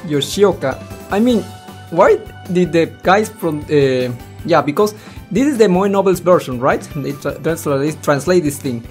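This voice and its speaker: Male let's player, casual and rambling, animated pitch, slightly stumbling delivery, mild swearing, music behind. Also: a little slow. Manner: rambling, stumbling over words, swearing mildly. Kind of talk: casual reaction commentary while reading a visual novel aloud.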